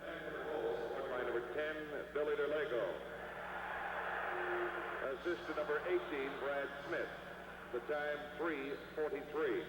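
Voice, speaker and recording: A man making formal, deliberate goal announcements as a public address announcer in an arena. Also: a little high. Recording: echoing arena PA, noisy old broadcast.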